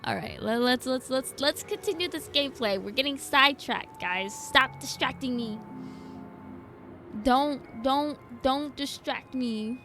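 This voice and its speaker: High-voiced woman streaming over game music. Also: unhurried, pushed and bright. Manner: playful, easily distracted, chatty with viewers. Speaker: livestreamer, VTuber and cosplay content creator. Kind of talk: casual gameplay stream chat. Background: game music and dialogue.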